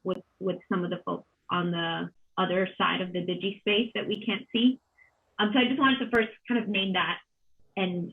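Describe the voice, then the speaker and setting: A woman in her thirties speaks on a video call with thin, phone-like sound. She moderates a panel in an unscripted, reflective way, often pausing and repeating words as she searches for the right phrase. Her pitch sits in a middle range. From Colombia. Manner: halting, thoughtful, with many pauses and repeated words. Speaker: panel moderator, arts organization director. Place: home kitchen, on a video call. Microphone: computer mic on a video call. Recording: dull, band-limited video call audio.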